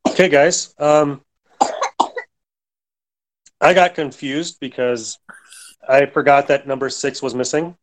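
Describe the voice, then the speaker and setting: A man's voice: medium pitch, steady tone, slow, pauses often, poor audio. A man hosting a podcast in casual, unscripted chat. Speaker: podcast host.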